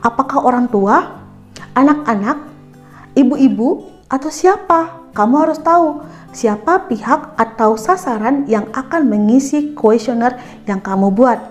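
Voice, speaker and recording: A woman's voice: sits high, expressive, measured pace, a little mellow. A woman narrating a school lesson in an instructive way, addressing pupils directly and checking in with questions. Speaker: teacher presenting an educational video. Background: music.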